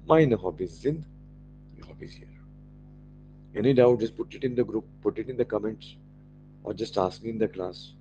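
A man with an Indian accent, whose first language is Marathi, teaching an online German class, slowly repeating each German phrase for his learners. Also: on the low side, dark tone, lo-fi sound, faint music behind.

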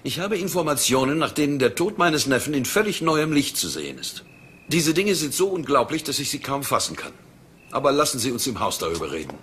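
An actor playing a smooth, haughty, wealthy villain in a German crime radio play, speaking formally and with condescension. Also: male voice, mid-range voice, very animated, fairly quick, a bit bright. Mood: indignant, formal.

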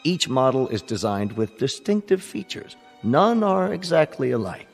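An actor playing a smooth-talking, reassuring salesman in a radio drama, polished and measured. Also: medium pitch, very animated, in a large hall, faint music behind. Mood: reassuring, persuasive.

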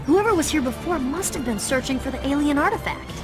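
A woman playing an earnest, level-headed teenage heroine in an animated game cutscene. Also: high-pitched, fast talker. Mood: focused. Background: music.

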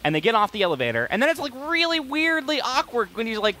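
Animated, bright-voiced man chatting over gameplay. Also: very high voice, quick pace. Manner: emphatic, excitable, repeats words for emphasis. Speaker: gaming video creator. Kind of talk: casual co-commentary over gameplay.

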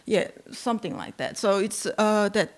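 A woman's voice: medium pitch, normal speed. A young woman with a Korean accent, a native Korean speaker, chatting hesitantly with many fillers as podcast co-host at a desk mic. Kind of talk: casual podcast chat. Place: small studio with painted backdrop. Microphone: desk mic on stand.

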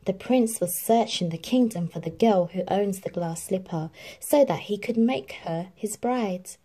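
A woman reading a children's storybook aloud in an expressive, animated narrating style. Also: medium pitch, a little slow, breathy edge. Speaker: storybook reader.

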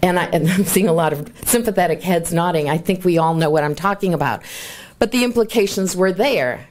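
A woman in her sixties speaks from a podium in a large, bright hall, her voice sitting a little low. She sets the scene for a conference in a chatty, self-deprecating way, with asides to the audience. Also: brisk. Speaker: conference organizer and presenter. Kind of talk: conference talk. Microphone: lapel mic, then handheld mic.

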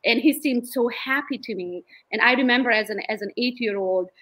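Bright-voiced Romanian woman telling stories. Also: a little high, fairly quick. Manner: lively storyteller, laughs often. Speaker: guest realtor. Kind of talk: casual video-call interview. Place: home room, indoors. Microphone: laptop webcam on video call.

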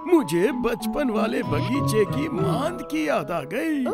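A kindly old grandfather pig from a cartoon. He is encouraging and hugely expressive in his intonation, over busy music. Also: very high voice, heavily acted. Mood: jolly, encouraging. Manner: encouraging, expressive, drawn-out praise. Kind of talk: children's cartoon dub.

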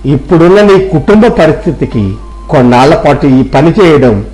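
Mellow, kindly village priest, acted by a man. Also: on the high side, lively intonation, moderate pace, faint music behind, close to an everyday voice, poor audio. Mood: encouraging. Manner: gentle, advising, addresses listener as child. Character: kindly, consoling village temple priest, fatherly elder. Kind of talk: storybook character dialogue.

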